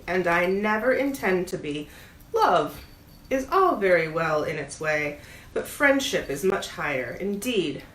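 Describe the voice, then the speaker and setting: A woman's voice: fairly low pitch, a bit bright. Woman reading as a pompous old water rat, echoey room. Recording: roomy echo, audible background noise.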